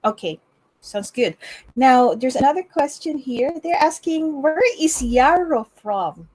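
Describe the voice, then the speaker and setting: A woman hosting a live interview, asking brisk questions and quickly acknowledging the answers. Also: high-pitched, lively intonation, darkish tone, rough recording. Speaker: interviewer, channel host.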